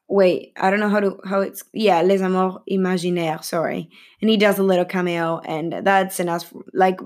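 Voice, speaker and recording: A young woman vlogging casually about a film's cast, rambling and giggly, with lots of fillers and self-corrections. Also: mid-range voice. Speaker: film vlogger.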